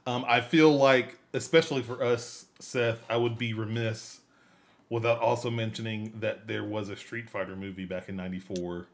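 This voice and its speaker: A man co-hosting a podcast, chatting casually and haltingly, often trailing off as he thinks. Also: on the low side, steady tone. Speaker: podcast co-host. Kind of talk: casual chat.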